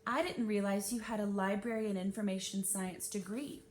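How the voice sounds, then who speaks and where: A woman's voice: fairly even intonation, normal speed, reverberant, close to an everyday voice. A woman voicing a firm school librarian in a children's storybook reading, pointed, incredulous and coolly sarcastic. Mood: indignant. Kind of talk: children's novel read-aloud. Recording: noisy with low rumble.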